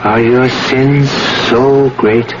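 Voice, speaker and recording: An actor playing a polite, helpful young student in a 1970s radio drama, with a slightly hesitant manner. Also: male voice, medium pitch, steady tone, drawn-out words. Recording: muffled, very noisy vintage radio broadcast.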